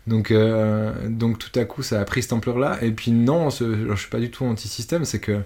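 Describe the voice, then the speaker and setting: A man's voice: medium pitch, moderate pace, dark tone. A man in his thirties, a musician being interviewed, talking casually with many fillers and restarts. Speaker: musician guest.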